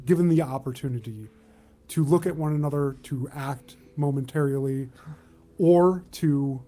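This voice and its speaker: A man narrating a tabletop roleplaying game as game master, with a deliberate, halting delivery. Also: a little high, warm tone.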